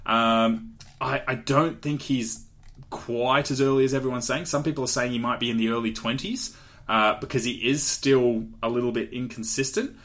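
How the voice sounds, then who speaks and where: Young Australian male podcast host, average pitch, casual chatty delivery with fillers. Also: moderate pace, a bit bright. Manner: chatty, laughs often, many fillers. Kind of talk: casual chat, draft analysis.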